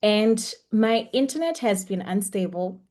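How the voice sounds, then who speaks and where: A young woman runs an online data class over a call, her voice at an ordinary middle pitch. She talks in a chatty, encouraging way and fills her pauses with frequent ums. The sound comes through a computer microphone on a video call. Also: animated, a little mellow. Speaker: webinar instructor. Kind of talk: online lecture. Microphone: computer mic on a video call.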